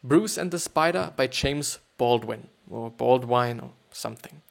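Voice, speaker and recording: Gravelly Austrian man rambling on a podcast. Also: mid-range voice, unhurried. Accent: Austrian German. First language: German. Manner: hesitant, repetitive, many fillers. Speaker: podcast host. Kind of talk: rambling casual monologue and reading aloud.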